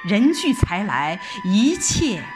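A woman performing dramatic first-person narration in a reflective, expressive recitation with deliberate pauses. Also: mid-range voice. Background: background music.

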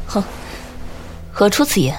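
An actress playing a guarded, haunted and quietly determined woman in a modern audio drama. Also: unhurried, natural voice. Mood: cool, resolute. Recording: voice under prominent background music. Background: music.

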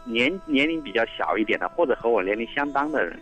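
A man calling in to a radio show, speaking earnestly and haltingly, often repeating his words. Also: medium pitch, animated, fairly bright tone. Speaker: caller. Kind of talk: phone-in conversation. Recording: muffled phone line with faint music.

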